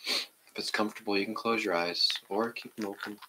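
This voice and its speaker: A middle-aged man calmly guiding a meditation in a gentle, measured way. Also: fairly low pitch, background noise. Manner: calm, measured. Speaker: meditation guide. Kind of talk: gentle guided meditation and reading aloud. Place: indoor room at home, seated on the floor.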